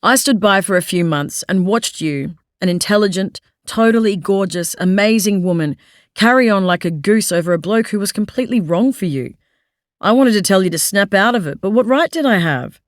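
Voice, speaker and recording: A woman's voice: mid-range voice, expressive, moderate pace, dry recording, clean studio sound, close to an everyday voice. A woman reading the lines of a blunt, earnest grown man who turns tender, in an audiobook. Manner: blunt, forthright, then tender.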